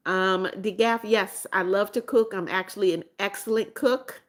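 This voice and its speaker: A woman's voice: mid-range voice, fairly even intonation, moderate pace. Chatty seventy-year-old woman live-streaming. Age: 70s. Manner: chatty, reads viewer comments aloud and answers them; calls herself Mommy Beth and repeats phrases. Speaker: livestream host. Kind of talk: casual live-stream chat.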